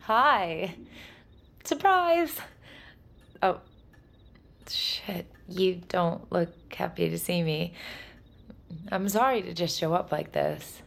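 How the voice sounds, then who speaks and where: An anxious young American woman in a naturalistic audio drama. Her low voice has a marked creak, and she talks bluntly and emotionally, like a lover who cannot believe what she hears. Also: animated, slow pace, pauses often, exaggerated voice. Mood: awkward, eager.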